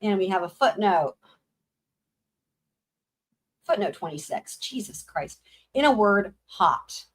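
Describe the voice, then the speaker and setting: A young woman hosting a live book reading, breaking off for blunt, sarcastic comments, her voice going hoarse. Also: mid-range voice, expressive, moderate pace. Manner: sarcastic, blunt asides with swearing. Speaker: livestream host reading a book aloud.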